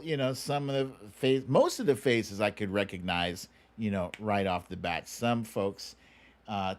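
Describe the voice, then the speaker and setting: A middle-aged American man hosting a livestream, chatting casually and answering viewer questions in an unhurried, musing way with pauses. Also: mid-range voice. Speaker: livestream show host.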